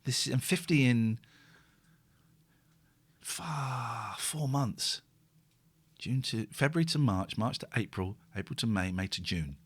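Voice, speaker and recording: A man nearing fifty chats loosely on a live stream, his pitch swinging widely from low mutters to sudden high bursts. He rambles, repeats himself and pokes fun at himself while reading viewers' comments aloud. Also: animated, slow, pauses often, slightly rough. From England. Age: 40s. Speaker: host. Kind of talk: casual live-stream chat.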